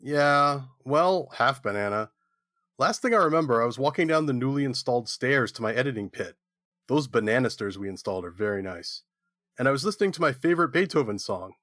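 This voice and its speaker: A man's voice: mid-range voice, lively intonation, a little fast, a bit bright, dry recording, clean studio sound. A man playing himself in a comedic audio skit with a playful, punning manner.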